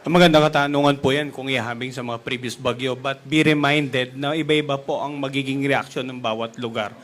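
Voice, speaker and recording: A man's voice: mid-range voice, steady tone, a little fast, fairly bright tone. A Filipino man, a weather official, explaining an answer at a press conference.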